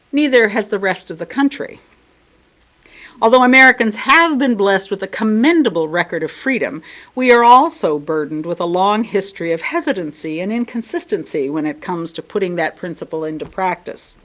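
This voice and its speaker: A woman reading a sermon aloud, deliberately, with emphatic stress on key words. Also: medium pitch, fairly bright tone. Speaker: lay reader delivering a sermon at a church. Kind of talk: read-aloud sermon with brief asides.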